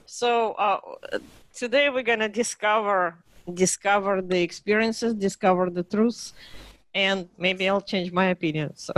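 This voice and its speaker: A woman hosting and moderating an online panel discussion, speaking in a measured way with occasional fillers. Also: mid-range voice, a bit bright, dry recording. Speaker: host and panel facilitator.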